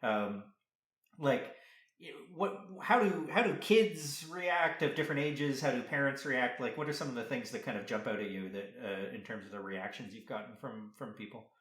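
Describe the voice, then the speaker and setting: A man's voice: mid-range voice, moderate pace, a bit bright. A man hosting a casual interview, encouraging his guest and phrasing his questions in a loose, tentative way. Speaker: podcast interviewer. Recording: roomy, echoey sound.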